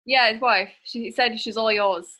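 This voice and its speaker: A woman's voice: a little high, fairly even intonation, unhurried, bright, forceful tone. A woman playing a cheeky gossiping witness. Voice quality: cheeky, chatty.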